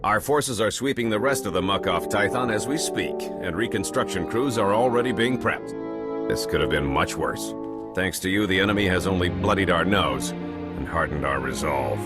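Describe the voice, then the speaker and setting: An actor voicing a male military colonel giving briefings and brisk orders in a game. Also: sits low, animated. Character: commanding male Republic colonel. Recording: game audio with music.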